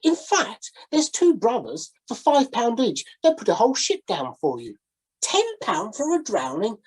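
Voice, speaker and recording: A woman voicing a chatty male policeman who talks in a brisk, officious way. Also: fairly high pitch, huge pitch swings, darkish tone, slightly rough, voice pushed up, full character voice. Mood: indignant.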